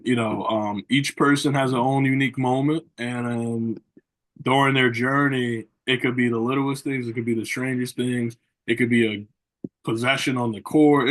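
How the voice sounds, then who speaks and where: A man's voice: medium pitch, a bit bright, poor audio. A man hosting a sports interview show, asking long rambling questions with many repeated phrases and "you know" fillers. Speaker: podcast host.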